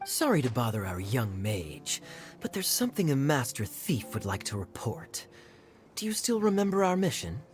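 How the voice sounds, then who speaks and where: A smooth, teasing young master thief, sly and self-assured in game cutscene style. His lines move with lively, playful intonation over music. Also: medium pitch, moderate pace. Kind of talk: video game cutscene acting.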